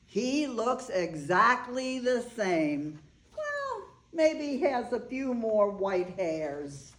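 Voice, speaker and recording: A woman's voice: on the high side, big pitch swings, extremely slow, slight background noise, heavily acted. A woman reading the part of an older bride in a staged play, wry and fondly reminiscing. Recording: roomy, echoing live room recording.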